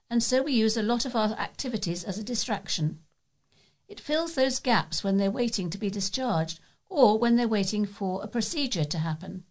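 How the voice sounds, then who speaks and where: A woman with a British accent reads local news articles aloud as a volunteer newsreader. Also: a little high.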